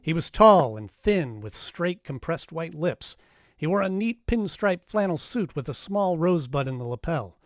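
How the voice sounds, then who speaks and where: An actor playing a wry, hardboiled private detective in a noir radio drama, casual, clipped and wisecracking. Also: male voice, fairly high pitch, animated, normal speed, no echo, clean studio sound, lightly acted. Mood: wry narration.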